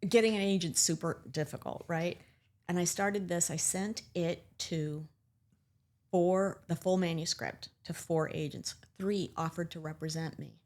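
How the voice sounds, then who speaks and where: A woman's voice: fairly low pitch, measured pace, a little mellow. A woman author with a New England accent, chatting candidly as an interview guest with self-deprecating humor. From Cuba. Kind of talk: casual interview chat.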